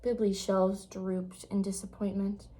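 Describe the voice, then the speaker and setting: A woman reading a children's picture book aloud with expressive, dramatic storytelling phrasing. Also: medium pitch, measured pace.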